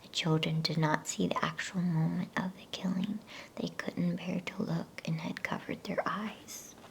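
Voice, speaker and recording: A woman whispering a bedtime story in ASMR style, with a hushed, breathy, gentle delivery. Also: fairly low pitch, steady tone. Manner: slow, hushed, gentle pacing. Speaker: storyteller reading a bedtime book. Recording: faint background noise.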